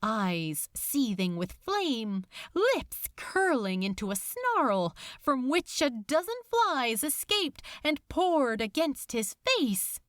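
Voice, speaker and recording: A woman reading fiction aloud as a dramatic, expressive narrator, deliberate and suspenseful. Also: sits high, no room sound, studio quality.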